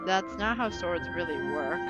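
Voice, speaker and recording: A woman's voice: on the low side, lively intonation, moderate pace. A young woman giving casual, playful game commentary, muttering offhand reactions to herself. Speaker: gameplay streamer. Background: game music.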